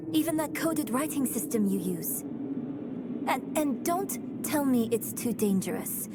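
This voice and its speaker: A woman's voice: a little high, background noise. A woman playing an earnest, bookish and determined young scholar in a video game, speaking eagerly and insistently. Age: young adult. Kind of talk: dramatic video game dialogue.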